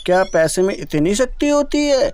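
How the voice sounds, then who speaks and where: A man's voice: high-pitched, very animated, normal speed, darkish tone. A man voicing an innocent little boy bird in a cartoon, pleading, with faint noise. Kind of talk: cartoon dialogue.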